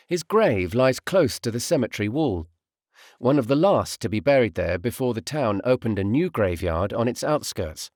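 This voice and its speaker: Male narrator with an expressive, lively delivery. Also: medium pitch, moderate pace, no echo, clean studio sound. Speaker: audiobook narrator, voice artist. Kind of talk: literary first-person audiobook narration.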